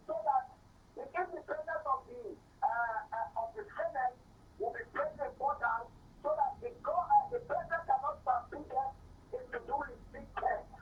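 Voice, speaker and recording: A Nigerian man with a very high voice speaks as a phone-in caller. He gives his opinion haltingly, often repeating words. The sound comes down a thin telephone line. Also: sing-song, a little hiss or hum. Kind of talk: giving opinion on air. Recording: narrow, distant telephone line.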